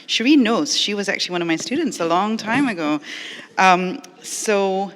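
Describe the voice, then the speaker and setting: A middle-aged lecturer from Malaysia speaks at a mid pitch through a venue microphone, the voice trailing into a long reverb in a library event space. The delivery is earnest and explanatory, an academic forum talk partly read from notes and sprinkled with ums and uhs. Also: lively intonation, normal speed, a bit bright. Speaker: lecturer and arts educator.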